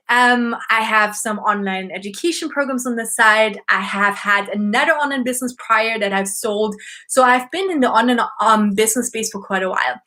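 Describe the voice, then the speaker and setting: A bubbly woman in her thirties with an Austrian German accent chats on a home live stream, her voice bright and a little high. She talks freely, with plenty of fillers and restarts, and sounds like she is speaking from a desk at home. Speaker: YouTuber and business owner. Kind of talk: casual live stream chat.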